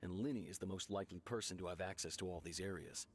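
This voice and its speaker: Guy doing a low voiced cop in an anime game, blunt, skeptical, matter of fact. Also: a crowd in the background, lightly acted. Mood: grave. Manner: measured, matter-of-fact, frank. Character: blunt male police officer, sober and skeptical.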